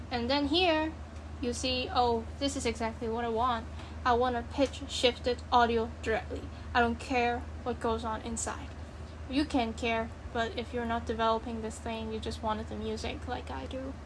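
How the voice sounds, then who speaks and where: A woman with a fairly high voice walks through a screen-share coding tutorial. She thinks aloud informally and bluntly, rambling and cutting herself off. Clear background noise runs behind her voice.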